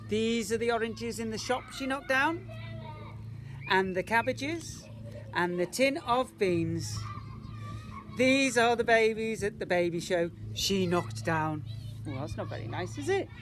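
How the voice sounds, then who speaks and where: A man tells a picture-book story in the manner of an infant teacher. His pitch swoops widely and key words are stressed. There is faint noise in the background. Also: expressive, a little slow, fairly bright tone. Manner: emphatic, with rhetorical questions and asides. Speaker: teacher reading to young children.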